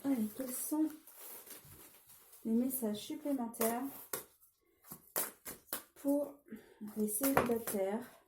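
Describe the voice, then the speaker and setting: A woman's voice: on the high side, drawn-out words, pauses often, echoey room, very noisy. A woman with a cold giving a casual tarot reading, hesitant with many fillers and restarts. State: has a cold. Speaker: tarot card reader.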